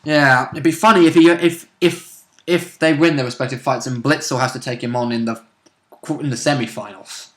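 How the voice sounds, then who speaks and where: A man's voice: medium pitch, steady tone, normal speed, a bit bright. A man chatting casually as a co-host, giving commentary on game characters and their fights.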